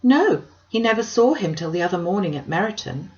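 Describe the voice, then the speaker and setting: Lady reading as sweet earnest young gentlewoman, polite n careful, audiobook, bit lo-fi. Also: mid-range voice, very animated, brisk. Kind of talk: audiobook character dialogue.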